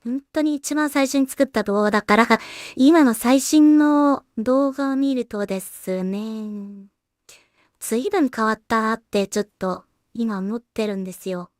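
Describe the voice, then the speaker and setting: A woman with a slightly high voice talks slowly in a close, dry recording. She thinks aloud casually as she works, murmuring to herself in a hesitant way and adding polite asides for whoever is watching. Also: dry recording. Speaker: solo animation creator and streamer.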